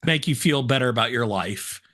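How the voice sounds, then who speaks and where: A man co-hosting a casual talk show, hoarse from smoke, telling rambling self-deprecating stories. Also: mid-range voice, lively intonation, fairly quick, sharp tone. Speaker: co-host. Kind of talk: casual chat, storytelling.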